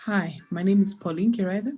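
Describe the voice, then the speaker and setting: A woman's voice: mid-range voice, moderate pace, warm tone, breathy edge. A middle-aged woman from Kenya, a company founder, speaking earnestly with some hesitations.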